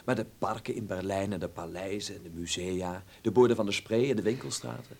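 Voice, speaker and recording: An actor playing a cheeky, defiant young would-be film actor in a radio drama, with a flippant, sardonic manner. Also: male voice, lowish voice, brisk, vocal fry, darkish tone. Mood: wistful, guarded. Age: young adult. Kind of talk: Dutch radio drama acting.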